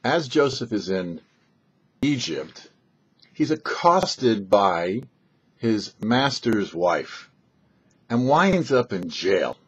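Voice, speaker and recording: A man, a rabbi hosting a short religious commentary, telling and explaining scripture with emphatic, halting phrasing and pauses. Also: lowish voice, very animated, a bit bright, husky. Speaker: rabbi and host of a weekly Torah talk show. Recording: dull, lo-fi sound.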